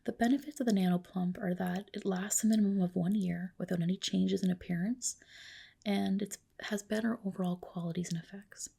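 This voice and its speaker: A woman speaking in a soft, breathy whisper, playing a gentle, reassuring clinician in a personal-attention ASMR roleplay. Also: medium pitch, fairly even intonation, brisk. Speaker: ASMR performer playing a medi-spa clinician.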